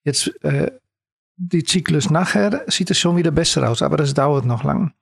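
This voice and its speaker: A middle-aged man from the Netherlands with a Dutch accent, a native Dutch speaker answering interview questions in halting, hesitant phrases as a sports coach. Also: fairly high pitch, lively intonation, creaky, slightly rough, no echo, clean studio sound.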